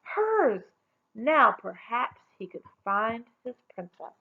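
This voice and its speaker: A woman reading a children's story aloud in an expressive storytelling style. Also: fairly high pitch, slow, gravelly, narrow, tinny audio, a little hiss or hum.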